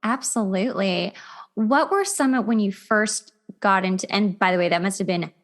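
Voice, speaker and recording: A woman's voice: medium pitch, moderate pace. A woman hosting a podcast interview in an informal, effusive and emphatic conversational way. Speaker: podcast host.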